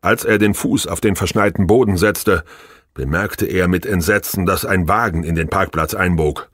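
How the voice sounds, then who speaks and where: Low-voiced male audiobook narrator, dry sound. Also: normal speed, a bit bright, breathy edge, no room sound. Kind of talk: scripted novel narration.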